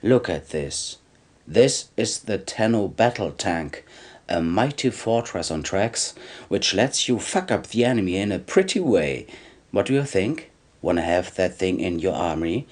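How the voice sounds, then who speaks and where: A sly, low-voiced arms dealer. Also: male voice, sing-song, measured pace, heavily acted. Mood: smug, pitching. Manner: smug, chuckling salesman patter. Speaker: game character actor. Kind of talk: video game cutscene acting.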